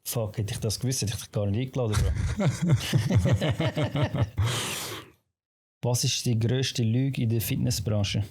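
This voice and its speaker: Male talk host, Swiss German accent, dark mellow tone, loose joking street-casual delivery. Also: medium pitch, slow, pauses often, slightly rough. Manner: slangy, informal, joking. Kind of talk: casual interview chat.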